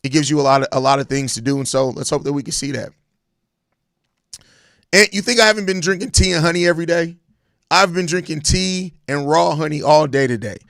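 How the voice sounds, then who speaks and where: Hoarse american guy doing a solo sports stream, raspy n gravelly, slangy, repeats himself, dry close sound. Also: a little high, fairly quick, fairly bright tone, no room sound. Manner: slangy, emphatic, repeats phrases. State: hoarse, voice going out from overuse. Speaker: solo sports podcast host. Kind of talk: casual live-stream sports chat answering viewer comments.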